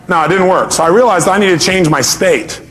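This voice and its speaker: A man with a distinctly high-pitched voice leads a motivational seminar. He speaks emphatically, poses rhetorical questions to his listeners, and acts out people's reactions as he drives his key points home through repetition. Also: animated, a little fast, fairly bright tone, a little hiss or hum.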